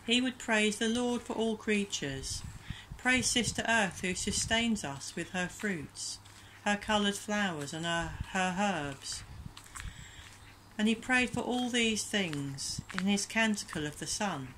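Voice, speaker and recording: A woman speaks a reflective prayer in a calm, gentle, measured way. Her voice sits at an ordinary middle pitch. Faint background noise sits under the recording. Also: low-quality recording. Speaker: church minister leading prayer.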